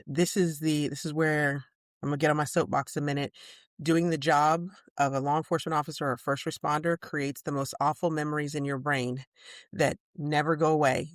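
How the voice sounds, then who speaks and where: A woman co-hosting a casual podcast, speaking in a measured, calm way with sudden bursts of big laughter. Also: deep voice, no room sound, studio quality. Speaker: podcast co-host. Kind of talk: casual chat.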